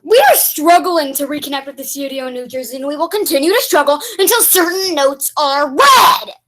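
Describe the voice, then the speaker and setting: A woman's voice: sits high, a little fast, a bit bright, slight background noise, rough recording. A woman playing a slick, showy hepcat bandleader in a 1940s-style radio broadcast, with a pointed, aggrieved edge. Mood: announcing.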